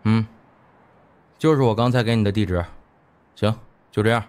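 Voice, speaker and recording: Arrogant low-voiced young gangster, acted by a man. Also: moderate pace, darkish tone, clean tone, close to an everyday voice. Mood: commanding. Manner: curt, dismissive.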